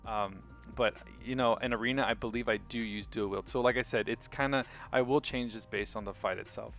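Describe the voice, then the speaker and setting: A man with a low, gravelly voice and a bright, forward edge talks casually through a video game setup. He sounds like an informal, keen hobbyist explaining choices to viewers. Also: steady tone, a little fast. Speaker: gaming video creator. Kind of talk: casual game walkthrough commentary. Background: music.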